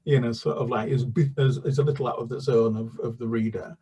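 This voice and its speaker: A British man with a husky voice in an ordinary middle register talks about his children's books, stopping and restarting often. He then reads a chapter aloud in steady storybook narration over a video call. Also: medium pitch, fast talker. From United Kingdom. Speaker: children's author. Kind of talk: book talk and read-aloud.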